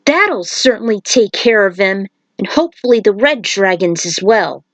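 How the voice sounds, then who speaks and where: A woman's voice: mid-range voice, lively intonation, moderate pace, a bit bright, no echo. A woman voicing a defiant male cop hero in a fan fiction story reading. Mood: grateful.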